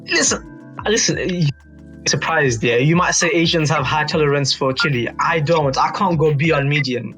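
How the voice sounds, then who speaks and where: A young man with a British accent and a notably high voice tells a casual story. He is slangy and animated, repeating phrases and tagging them to check his friends follow. Music plays behind him. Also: moderate pace, poor audio. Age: young adult. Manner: animated slangy storytelling, repeats himself, seeks agreement with tags. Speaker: youth advisory board member on a podcast. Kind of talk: casual anecdote among friends.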